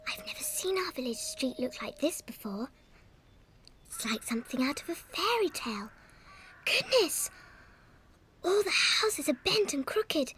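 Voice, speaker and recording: A woman playing a curious, easily frightened young British orphan girl in a dramatized audiobook, breathy and exclamatory. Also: extremely high pitch, fairly bright tone, a little hiss or hum, heavily acted. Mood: awed wonder.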